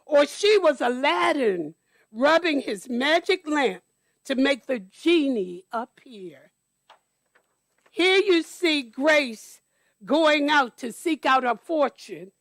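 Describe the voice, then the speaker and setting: A woman storyteller reading aloud to children at church, deliberately, with explanatory asides. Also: high voice, lively intonation, no room sound. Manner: deliberate, explains details to young listeners.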